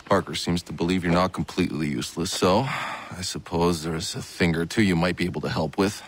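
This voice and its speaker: A man's voice: low voice, steady tone, fairly quick. A man playing a blunt, sardonic, battle-weary militia commander in a video game cutscene. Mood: gruff, dismissive.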